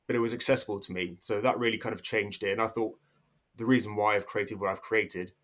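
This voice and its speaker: A man's voice: on the low side, fairly even intonation, fast talker, fairly bright tone. A man in his thirties who grew up in South Africa but now sounds English, talking casually as a podcast guest with frequent fillers and restarts. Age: 30s. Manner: hesitant, many restarts and fillers. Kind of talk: casual interview talk.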